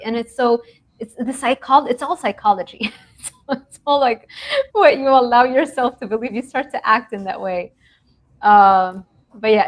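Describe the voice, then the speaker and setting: Animated woman from Lebanon. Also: on the high side. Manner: animated, laughs often, many restarts. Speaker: guest nutrition researcher. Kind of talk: casual interview talk.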